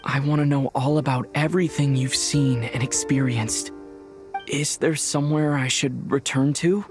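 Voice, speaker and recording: An actor playing an earnest, wistful young wandering hero in a video game, speaking with sincerity. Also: male voice, medium pitch, fairly even intonation, normal speed, over music, close to an everyday voice. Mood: tender, wistful.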